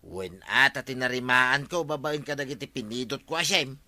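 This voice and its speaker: Piercingly bright, grumbling Ilocano villager. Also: male voice, medium pitch, moderate pace, no room sound. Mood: complaining. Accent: Ilocano Filipino. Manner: complaining, indignant. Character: aggrieved, scheming village man demanding storm aid. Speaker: actor in a radio comedy drama. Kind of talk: Philippine radio comedy drama acting.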